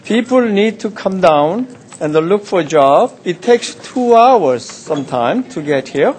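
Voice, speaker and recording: An older man from South Korea, a city councillor giving a measured, halting nomination speech. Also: high-pitched, animated, a little mellow, breathy edge. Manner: measured, halting, many "uh" pauses. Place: council chamber.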